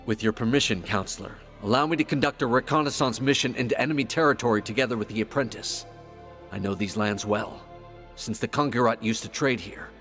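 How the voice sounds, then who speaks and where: An actor playing an earnest, sober and resolute tracker in a fantasy game. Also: male voice, medium pitch, a little fast, fairly bright tone, faint music behind, close to an everyday voice. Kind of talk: dramatic fantasy game acting.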